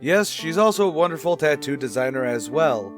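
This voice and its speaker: A man's voice: a little high, animated, brisk, faint music behind, voice pushed down, natural voice. A man playing a wry, put-upon waiter who reads an announcement in a dry, announcer-like way. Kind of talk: in-character promo announcement.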